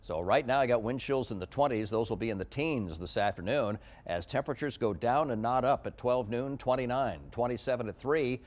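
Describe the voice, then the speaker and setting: A man's voice: medium pitch, fairly quick, few pauses. A man working as a TV meteorologist, presenting a live forecast in a conversational, ad-libbed way.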